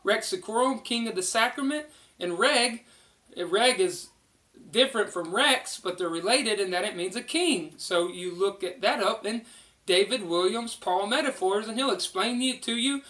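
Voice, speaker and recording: A channel host giving a casual, rambling lesson on studying the Bible, getting excited as they jump from one reference book to the next. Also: mid-range voice, normal speed. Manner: rambling and excitable, jumps between topics; conversational, folksy phrasing. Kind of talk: casual instructional talk, showing books to camera.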